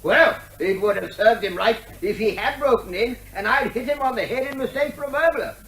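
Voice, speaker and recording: An actor playing a dotty, stubborn eccentric, blandly polite and evasive, in a radio comedy drama. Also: male voice, very high voice, fairly quick, a bit bright. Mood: huffy, self-satisfied. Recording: echoey room with faint background noise.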